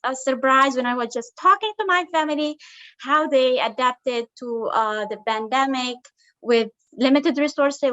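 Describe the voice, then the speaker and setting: Woman professor from Palestine, high pitch, hesitant delivery, dull call audio. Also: fairly bright tone. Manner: halting, many fillers, laughs easily. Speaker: assistant professor and guest. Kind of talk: self-introduction in conversation. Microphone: video call.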